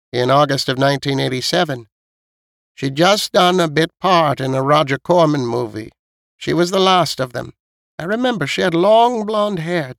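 Man voicing a high-pitched stern old woman. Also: creaky, fairly bright tone, no echo, clean studio sound, heavily acted. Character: stern elderly woman with a cane, blunt and knowing.

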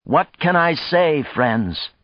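A man's voice: mid-range voice, lively intonation, unhurried, voice pushed up, close to an everyday voice. A man voicing an exhilarated male space colonist giving a declamatory, rousing speech in an audiobook. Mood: stunned, elated. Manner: declamatory, impassioned oratory. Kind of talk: audiobook character dialogue. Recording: dull, slightly muffled, roomy sound.

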